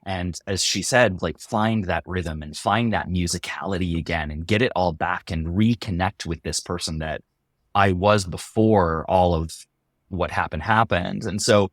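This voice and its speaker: Male, deep voice, dry sound. Candid interviewee, halting and thoughtful delivery. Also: no echo. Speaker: voice actor and comedy performer.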